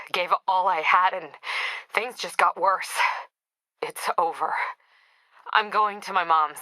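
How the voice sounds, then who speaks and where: An actress playing a female police captain in a video game, brisk and weary, with a clipped, businesslike, dryly wry way of talking. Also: fairly low pitch, pushed and bright, no echo, rough recording. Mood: weary, defeated. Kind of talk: video game voice acting, radio dispatch briefings.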